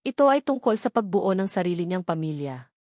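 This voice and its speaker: A woman's voice: fairly low pitch, sing-song, fairly quick, a little mellow, no room sound, clean studio sound. A woman narrator reading a scripted romantic drama in a solemn, dramatic storytelling manner.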